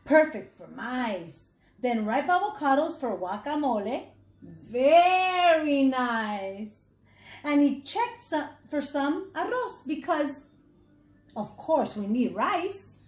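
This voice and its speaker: A woman reading a children's story aloud as a teacher, dramatic and deliberate for young listeners. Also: high voice, sing-song, darkish tone. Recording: echoey, roomy sound.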